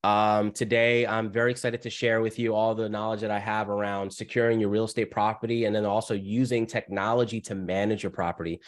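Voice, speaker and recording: A man with a cold, sounding scratchy, presenting as the guest speaker on a webinar over a video call in a brisk, upbeat way. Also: fairly low pitch, level tone.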